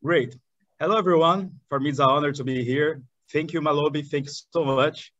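A man in his thirties from southern Brazil, a Portuguese speaker with a Brazilian accent, talking eagerly as an interview guest in halting English with many restarts. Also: on the high side, fairly even intonation, a bit bright, no room sound. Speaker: guest, chamber of commerce director. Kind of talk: video call interview. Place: indoor room in front of curtains. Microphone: video call webcam. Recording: dull dry webcam audio.

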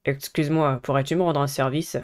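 Woman as a low, reserved, courteous teenage boy. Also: fairly even intonation, a little fast, a little mellow, close to an everyday voice. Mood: discreet. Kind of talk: visual novel character reading.

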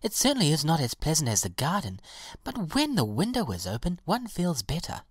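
Lady doing a sweet patient little flower, upbeat and soothing, higher voice, kids story. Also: wildly swooping pitch, brisk, breathy edge, no echo, studio quality, exaggerated voice. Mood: reassuring. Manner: soothing, optimistic. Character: gentle, cheerful, patient little violet flower.

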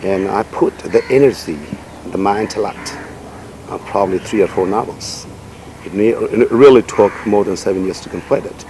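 A middle-aged man whose first language is Nepali speaks in a very low, grounded voice. He answers in a measured, reflective way, pausing often on "you know". The recording was made outdoors in a garden, with clear background noise throughout. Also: mellow, rough recording. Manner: measured, thoughtful, many fillers like "you know". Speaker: poet and writer, interview guest.

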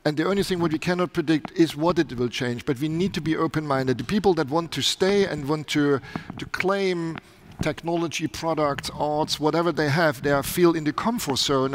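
A man's voice: on the high side, slight background noise. Middle-aged man, earnest panel talk. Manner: earnest, with frequent restarts in non-native phrasing. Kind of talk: conference panel discussion. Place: large conference stage indoors. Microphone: headset mic.

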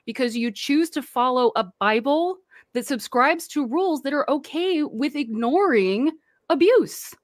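Livestream guest: a deep-voiced woman, passionate and direct, slightly high pitch, dry close sound. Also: animated, a little slow, no echo. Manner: impassioned, speaks out forcefully. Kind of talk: livestream panel discussion.

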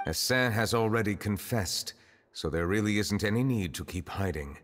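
A man playing a calm, stern chief justice in a video game, speaking formally and with composure. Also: fairly low pitch, moderate pace, big-room sound, slight background noise. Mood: composed, stern.

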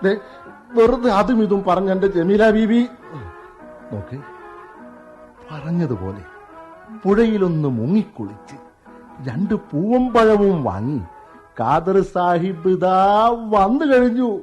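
Brief: a doting young husband, high, lively and mellow, teasing his wife in a radio play. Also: slow pace, long pauses, soft background music, full character voice. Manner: teasing, indulgent, playfully exaggerated. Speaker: radio drama actor. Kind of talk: 1990s-style radio drama acting.

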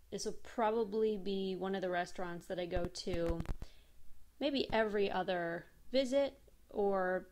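A woman's voice: medium pitch, a little mellow, a little hiss or hum. A woman in her thirties giving a casual, friendly voice-over review of a restaurant, chatty and with frequent ums.

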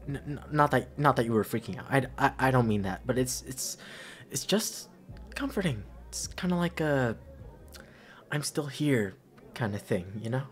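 A young man playing a caring, teasing, shy best friend in an intimate ASMR roleplay, speaking softly and hesitantly. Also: medium pitch, expressive, normal speed, lightly acted. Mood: gently reassuring. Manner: soft, hesitant, stammering, breathy sighs and chuckles.

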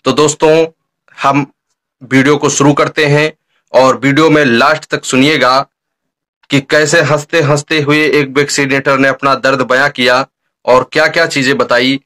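Bright-voiced young man, fairly even pitch. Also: normal speed. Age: young adult. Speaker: YouTube vlogger, host. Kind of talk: vlog introduction to camera. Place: dark room indoors.

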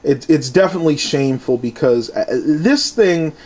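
A man's voice: on the high side, animated, moderate pace, darkish tone. A man giving a casual hands-on tech demonstration and review, speaking into a handheld camera at home. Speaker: product reviewer. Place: small furnished room at home. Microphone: handheld camera's built-in mic, held by the speaker.

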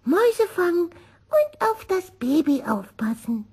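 A woman voicing a gentle, wistful little boy kitten in a children's storybook, speaking earnestly. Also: very high voice, sing-song, slow pace, voice pushed up, full character voice.

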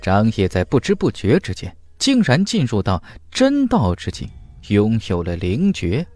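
Guy reading a fantasy novel, lowish voice that swings a lot, dramatic, music under it. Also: wildly swooping pitch, measured pace, darkish tone, dry recording. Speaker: audiobook narrator.

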